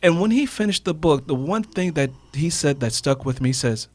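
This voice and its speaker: A man's voice: on the high side, animated, brisk. A man telling reflective personal stories as a radio guest, emphatic and repeating phrases for effect. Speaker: interview guest, author.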